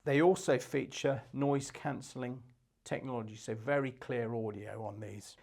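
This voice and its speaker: A man's voice: mid-range voice, fairly even intonation, moderate pace, gravelly, a little mellow. Matter-of-fact man presenting products. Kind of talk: unscripted product review presentation.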